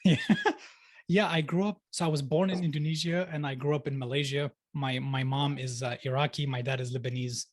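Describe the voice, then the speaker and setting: A man's voice: fairly high pitch, steady tone, fairly quick. A young man talking casually as a podcast guest, chatty, filling his speech with "like" and laughing easily. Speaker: podcast guest, sociologist and life coach. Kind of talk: casual interview chat.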